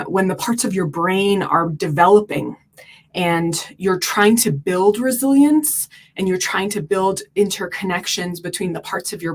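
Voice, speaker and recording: A woman from Turkey, a podcast guest, explaining at length in an earnest way with many fillers. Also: on the low side. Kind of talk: explanatory interview talk.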